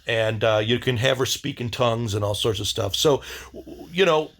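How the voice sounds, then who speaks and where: A man hosting a casual video diary, rambling in a blunt, wry way and often halting to search for words. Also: medium pitch, vocal fry, fairly bright tone.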